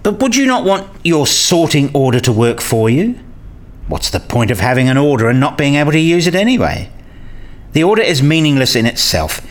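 An earnest actor playing a man in a radio play, arguing his case in scripted dialogue. His mid-pitched voice moves in lively swings as he explains things insistently and didactically. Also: lightly acted. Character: earnest, argumentative man debating ideas with a professor.

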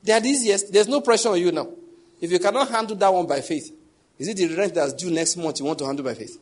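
A young adult man preaching, his voice pitched a little high with a husky edge. He presses each point hard, repeats key phrases and keeps throwing questions back at the congregation. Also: fast talker. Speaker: pastor. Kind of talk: sermon.

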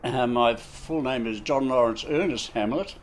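A man in his nineties with a New Zealand accent, recalling his life in an interview, slow and halting with many fillers. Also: medium pitch, slight background noise. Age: 90s. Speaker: interviewee, war veteran. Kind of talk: casual reminiscing interview.

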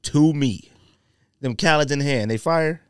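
A man's voice: on the high side, measured pace, smooth, no echo, studio quality. A man hosting a podcast in casual, slangy banter, giving his opinions freely. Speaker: podcast host.

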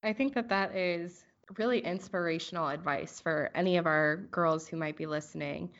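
A woman's voice: fairly low pitch, normal speed, a bit bright. A woman hosting an interview podcast in an encouraging, effusive manner. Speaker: podcast host. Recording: slightly dull lo-fi sound.

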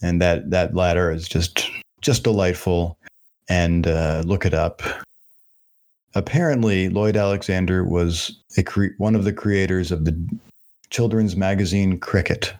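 A man in his thirties talks with a fairly low voice on a casual podcast. He rambles and hesitates, searching for the right words. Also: steady tone, unhurried, darkish tone. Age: 30s. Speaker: podcast host.

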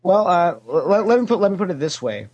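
A man's voice: sits high, very animated, fast, darkish tone, slightly rough, dull audio. A man speaking as a podcast guest in casual fan discussion, opinionated and emphatic, repeating phrases to drive his points home.

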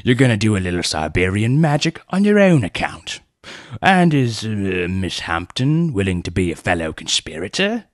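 A man's voice: fairly low pitch, very animated, moderate pace, no echo, clean studio sound. A man doing a chuckling old lord. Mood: amused. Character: amused, genial older lord.

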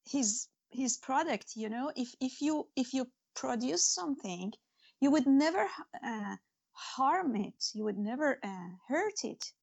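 A woman's voice: high voice, lively intonation, unhurried, gravelly, a little mellow, lo-fi sound. A woman speaking English as a second language, an author talking earnestly about faith and her stories in an interview, with many restarts and fillers. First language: not English. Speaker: author and guest. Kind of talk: spiritual interview talk.

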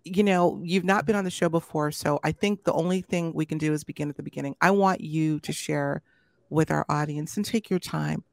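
Woman, show host, low voice, gravelly fry, casual interview chat. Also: steady tone, a little fast, no room sound. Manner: warm, chatty, addresses audience as y'all. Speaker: podcast host.

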